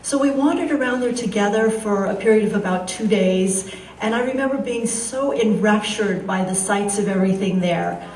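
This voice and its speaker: A woman author, born in Iran and raised in the San Francisco area, tells students stories from her life in a calm, measured way. She is picked up from a distance in a roomy school library, with a light background hiss. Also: mid-range voice. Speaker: author giving a talk. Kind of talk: public talk to students.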